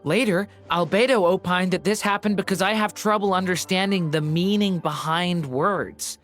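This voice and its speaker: Woman as low-toned, earnest, naive youngster. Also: normal speed, soft background music, lightly acted. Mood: sheepish, explaining. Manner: earnest, hesitant, polite. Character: naive, earnest, gentle being born from a storybook, youthful. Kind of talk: anime-style game dialogue.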